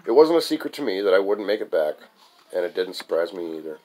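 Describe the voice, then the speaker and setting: A man's voice: low-pitched, sing-song, fast, darkish tone, poor audio. A man reading his own first-person story aloud as its author, in a recitation style with the occasional stumble over the text.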